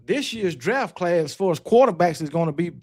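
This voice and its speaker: High-pitched, argumentative Southern US man. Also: expressive, normal speed, darkish tone. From Alabama, United States. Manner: blunt, argumentative, emphatic, repeats himself for effect. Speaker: sports talk show host. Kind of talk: casual live sports debate.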